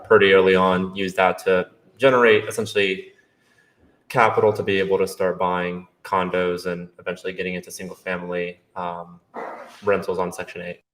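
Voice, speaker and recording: Young male guest from Brazil, low and even delivery, relaxed and practical. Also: normal speed, slight background noise, low-quality recording. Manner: relaxed, matter-of-fact. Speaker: guest, real estate investor. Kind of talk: casual interview answers.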